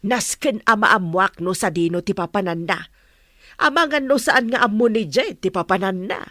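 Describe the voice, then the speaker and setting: A woman's voice: mid-range voice, normal speed, sharp tone, dry recording, studio quality, natural voice. A woman acting as a nosy, meddling mother in an audio drama, prying insistently.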